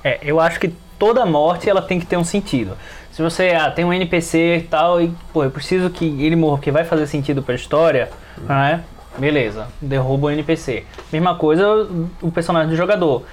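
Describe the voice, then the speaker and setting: A man's voice: on the high side, fairly quick. A man with a Brazilian accent talking casually as a panelist in a podcast discussion.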